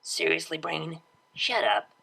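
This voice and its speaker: Woman voicing a brash, creaky young unicorn DJ. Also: sits low, slow, sharp tone, full character voice, rough recording. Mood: flustered. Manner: casual, slangy, offhand. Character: brash, laid-back young unicorn mare DJ.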